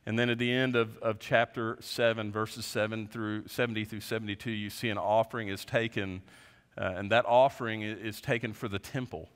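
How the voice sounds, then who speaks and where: Earnest pastor preaching in a reverberant sanctuary. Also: male voice, fairly even intonation, brisk, clean tone. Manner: earnest, gestures widely, voice drops low at ends of points. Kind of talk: sermon. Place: large dark church stage at a lectern. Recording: long reverb of a large sanctuary.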